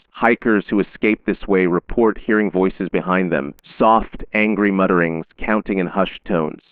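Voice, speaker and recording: Deep voiced guy doing solemn official horror broadcast narration, super smooth, sounds like its coming thru a phone line. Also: no echo. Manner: measured, solemn, formal announcer delivery. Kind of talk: scripted analog-horror narration as an official safety bulletin.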